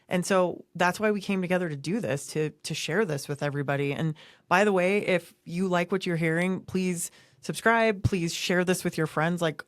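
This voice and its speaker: A woman's voice: on the low side, no room sound, studio quality. A middle-aged woman co-hosting a podcast, talking casually and giving emphatic advice. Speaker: podcast co-host. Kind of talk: casual conversational podcast talk.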